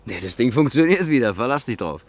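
A man's voice: mid-range voice, very animated, fairly quick, natural voice. An actor playing a friendly, helpful village man in a German radio play, talking in an easygoing, colloquial way. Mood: helpful, cheerful.

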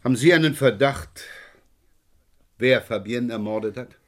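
A man plays a shrewd police inspector in a radio crime drama. His medium-pitched voice probes with wry, pointed questions and a sardonic edge. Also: a little slow, natural voice. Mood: probing, wry.

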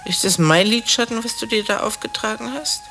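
Breathy, sulky woman. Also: a little high, a little fast, sharp tone, soft background music. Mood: indignant. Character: discontented mature wife, defiant and brooding. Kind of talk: radio drama dialogue.

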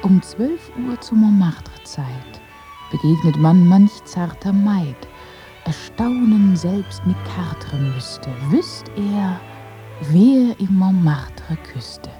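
A woman sings a cabaret chanson slowly. Her tone is very dark and mellow, with music behind her. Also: mid-range voice, animated.

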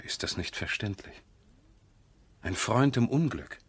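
An actor playing an eager, persuasive aristocratic husband in a radio drama, speaking in an earnest, coaxing, gentlemanly way. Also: male voice, lowish voice, expressive, measured pace, breathy edge, no room sound. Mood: earnest, urging. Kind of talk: 1970s German radio drama acting.

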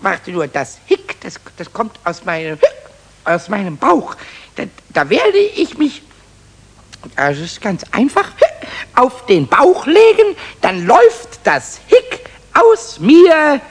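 A man's voice: extremely high pitch, huge pitch swings, slow pace, full character voice. A man voicing a cheeky, childlike little kobold in a children's radio play, tipsy and defiant, stammering and slurring with hiccups. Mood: defiant, drunk. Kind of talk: 1970s German children's radio play acting.

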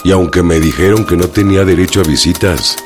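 Man doing a super deep slow mexican revolutionary prisoner, proud n aggrieved, radio drama, bit of music behind. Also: lightly acted. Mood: confiding. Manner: slow, deliberate, plain-spoken. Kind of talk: radio drama, letters read aloud.

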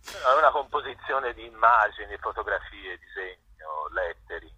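A man's voice: lowish voice, lively intonation, moderate pace, pushed and bright, breathy edge. A middle-aged man answers interview questions conversationally, hesitating and repeating himself as he searches for words. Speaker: interviewed visual artist. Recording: dull, telephone-like radio sound.

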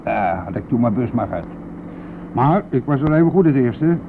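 An actor playing a boastful, brash and talkative bus driver in a radio play, with a blustery, colloquial manner. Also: male voice, medium pitch, animated, normal speed, natural voice. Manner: blustery, colloquial, mildly swearing. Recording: narrow phone-like old radio recording, faint noise.

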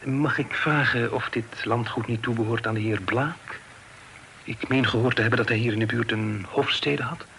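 Through clear background noise on an old radio play recording, a young gentleman of good manners. He speaks in a medium-pitched voice, hesitant and easily flustered, always courteous. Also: fairly even intonation, moderate pace, poor audio. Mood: hesitant, polite. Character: polite, flustered young gentleman caught in a storm. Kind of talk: Dutch radio play acting.

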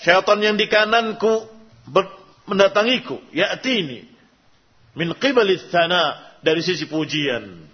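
A somewhat high-pitched man with an Indonesian accent delivers an Islamic sermon. He is didactic, setting out scripture and its explanation in short, measured phrases. The recording is a little muffled and lo-fi. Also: expressive. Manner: didactic, reciting quotations then translating and repeating in short measured phrases. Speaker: Islamic preacher. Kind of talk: sermon explaining an Arabic religious text line by line. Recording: dull, lo-fi sound.

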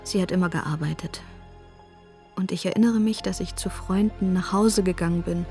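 A woman's voice: on the low side, moderate pace, mellow, soft background music, natural voice. An actress playing a woman who recalls a lonely childhood in a radio drama monologue. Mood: reminiscing.

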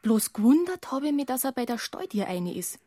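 A naive Bavarian village girl in a period radio drama. Her voice is fairly high and closely recorded without room sound. She speaks shyly, in a hesitant, childlike way. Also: big pitch swings, normal speed, warm tone, no echo. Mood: puzzled. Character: shy, naive village girl confiding secrets. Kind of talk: radio crime drama acting.

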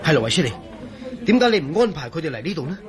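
An actor playing an earnest male engineer in a Cantonese radio drama, with a Hong Kong accent and an emphatic, expressive way of talking. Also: a little high, very fast, crowd noise. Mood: insistent. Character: earnest, regretful male engineer in charge of waterworks.

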